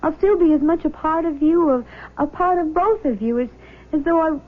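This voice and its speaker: Actress as a shaken teenage daughter, high voice, halting, radio drama style, dull old broadcast sound. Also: female voice, natural voice. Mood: tender, shaken. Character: shocked nineteen-year-old adopted daughter, emotional but loving. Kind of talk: 1970s radio drama acting.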